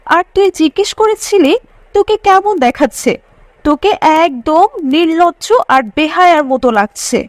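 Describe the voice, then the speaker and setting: Very high voice, close dry sound, pious moralising girl, played by a woman, young, cartoon. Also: normal speed, no room sound. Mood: scolding. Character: pious, scolding young college girlfriend Mariam. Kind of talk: Bengali moral story cartoon dialogue.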